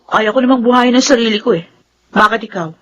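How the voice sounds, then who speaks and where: An actress playing an angry, defiant grown daughter and older sister in a Filipino radio drama, indignant and accusing. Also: female voice, fairly high pitch, fast, lo-fi sound, close to an everyday voice. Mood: bitter, defiant.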